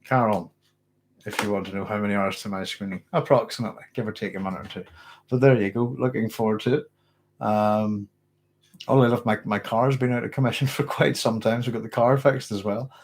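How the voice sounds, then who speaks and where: A man's voice: lowish voice, steady tone, brisk. Rambling man hosting a late-night Q&A. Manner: rambling, self-correcting, conversational. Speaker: host of a science fiction channel. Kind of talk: late-night live Q&A, reading viewer comments.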